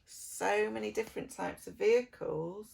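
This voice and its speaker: A woman reading a children's picture book aloud as an expressive preschool storyteller, theatrical, drawing out words and dropping into soft, hushed phrases. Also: mid-range voice, normal speed, creaky, breathy edge.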